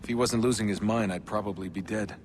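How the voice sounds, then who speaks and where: An actor playing an earnest, slightly uneasy young assassin in a video game, speaking in a casual, understated way. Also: male voice, on the low side, fairly even intonation, quick pace, a little hiss or hum, close to an everyday voice. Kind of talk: video game cutscene acting.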